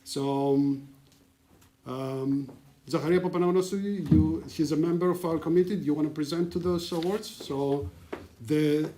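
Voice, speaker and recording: A man's voice: on the high side, fairly even intonation, normal speed, warm tone. A man emceeing an awards ceremony, hesitant and full of fillers. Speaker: event emcee.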